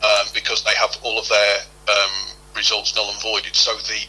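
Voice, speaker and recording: A man's voice: on the low side, completely flat delivery, normal speed, pushed and bright, breathy edge, low-quality recording. A middle-aged man answering interview questions as a football club official, explaining at length and repeating himself as he goes. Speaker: football club head of operations, interview guest.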